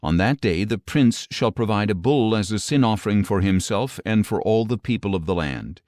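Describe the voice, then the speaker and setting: A man narrating an audio Bible, reading scripture in a measured, solemn, clearly phrased way. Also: fairly low pitch, animated, a little mellow, no echo, clean studio sound.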